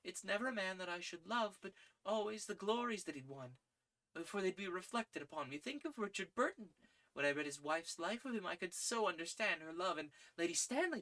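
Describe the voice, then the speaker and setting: A woman's voice: medium pitch, a bit bright, pitched above the performer's own voice, natural voice. A reader voicing an idealistic, proud young woman who speaks in earnest, lofty tones. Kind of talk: audiobook character reading.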